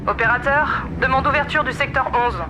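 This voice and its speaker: A woman's voice: high voice, steady tone, fairly quick, background noise, lightly acted. A woman playing a feisty, sarcastic submarine pilot in a sci-fi audio drama, grumbling in informal speech. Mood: businesslike.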